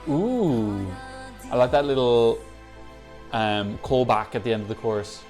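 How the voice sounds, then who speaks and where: A man's voice: medium pitch, expressive, unhurried, darkish tone. A man hosting a Eurovision reaction video, giving chatty, opinionated commentary and correcting himself as he goes. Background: faint music from the songs being reacted to.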